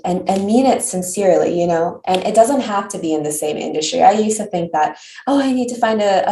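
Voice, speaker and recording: A woman's voice: mid-range voice, warm tone. A woman hosting an interview, asking questions in an encouraging, thoughtful manner. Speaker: host. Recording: echoey room, far from the mic.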